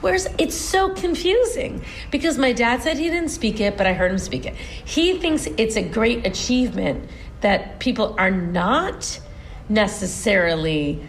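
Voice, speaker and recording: A woman in her forties with a fairly high voice talks through her family history as an interview guest. She is earnest and animated, often restarting her sentences. A faint hiss sits under her voice. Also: a little slow, rough recording. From United States. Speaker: guest, stage performer. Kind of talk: casual interview chat.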